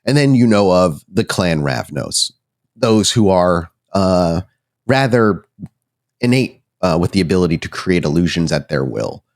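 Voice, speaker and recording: A man working as game master, improvising suspenseful, deliberate narration for a horror tabletop roleplaying game. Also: low voice, lively intonation. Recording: music behind the voice. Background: background music.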